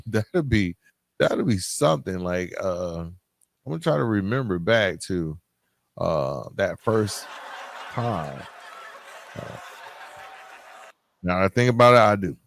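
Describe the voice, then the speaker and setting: A man hosting a podcast solo, talking informally and conversationally, thinking aloud in a halting way. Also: low voice, expressive, gravelly. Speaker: podcast host.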